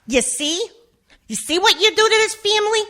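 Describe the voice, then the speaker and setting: Woman playing a sharp, high-voiced mob wife. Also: expressive, normal speed, exaggerated voice. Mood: indignant, scolding. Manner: sharp, scolding. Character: nagging, indignant mob wife and mother. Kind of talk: comic radio play acting.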